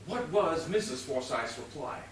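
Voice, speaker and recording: An actor playing a pompous, excitable, bumbling police inspector in a stage comedy, theatrical and blustering. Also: male voice, medium pitch, normal speed, natural voice. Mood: officious. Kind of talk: stage comedy murder mystery acting. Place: theatre stage, recorded from a distance. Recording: roomy distant stage recording, noisy.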